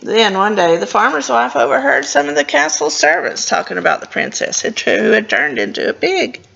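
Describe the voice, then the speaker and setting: A woman's voice: on the high side, normal speed, creaky, dull audio, a little hiss or hum. A woman reading a picture book aloud for storytime, expressively, stumbling now and then and adding casual asides about the pictures. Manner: expressive, stumbling over words and commenting as she goes. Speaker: librarian reading a storybook to children.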